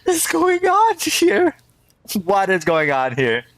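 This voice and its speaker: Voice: medium pitch, wildly swooping pitch, slow, fairly bright tone, dry recording. A game streamer doing reaction commentary, exclaiming and repeating words in amazement while playing a story game.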